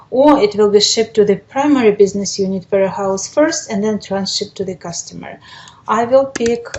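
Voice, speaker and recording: Woman presenting a methodical software walkthrough. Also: medium pitch, low-quality recording. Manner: methodical, explanatory. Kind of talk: step-by-step software demonstration.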